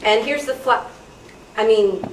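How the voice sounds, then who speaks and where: Woman acting an exasperated, high-voiced teenage babysitter. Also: a little slow, lightly acted. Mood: placating. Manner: scolding, exasperated, placating. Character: exasperated teenage girl babysitter Cindy, bossy but caring. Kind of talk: live script read of a spooky radio play. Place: club meeting room, live reading. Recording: roomy distant microphone, noisy meeting room.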